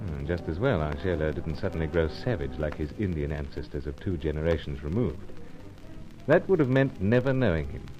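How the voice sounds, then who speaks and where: Suave secret agent, lowish animated voice, dry clipped wit, radio adventure, dull recording. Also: male voice, a little fast, music in the background. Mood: reflective narration. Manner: clipped, telegraphic, nonchalant understatement. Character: suave, unflappable British secret agent, dryly witty. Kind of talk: old-time radio adventure acting and narration.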